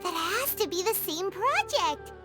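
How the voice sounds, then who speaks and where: Tiny squeaky fairy girl from a video game, super high n bouncy, excitable, music behind. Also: measured pace, full character voice. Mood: eager. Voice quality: squeaky, childlike. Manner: exclamatory, thinks aloud. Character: small excitable floating fairy girl companion, childlike and curious.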